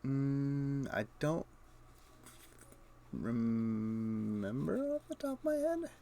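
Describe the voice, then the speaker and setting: A man's voice: medium pitch, big pitch swings, drawn-out words, vocal fry, dark tone, slight background noise. A man casually thinking aloud in short, muttered fragments as he streams his gameplay. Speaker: game streamer.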